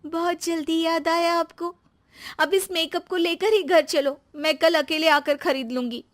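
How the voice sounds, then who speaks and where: A woman's voice: extremely high pitch, steady tone, measured pace. A woman voicing a polite, exasperated young daughter-in-law in a family story, respectful and pleading. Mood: resigned.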